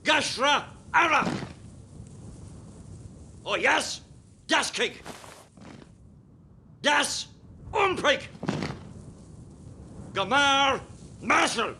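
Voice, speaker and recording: Slow, commanding Irish rebel leader. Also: male voice, very high voice, expressive, bright, forceful tone, slight background noise, exaggerated voice. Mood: shouted command. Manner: slow, declamatory, barking orders. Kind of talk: radio play acting.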